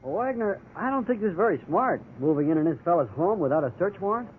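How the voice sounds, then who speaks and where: A young junior cop in a 1950s radio crime drama, mild, decent and unsure. His voice is a little high for a man. It sounds thin and tinny, like an old noisy broadcast. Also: animated, quick pace. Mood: doubtful. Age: young adult. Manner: hesitant, mild, doubtful. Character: young, hesitant, fair-minded junior police officer.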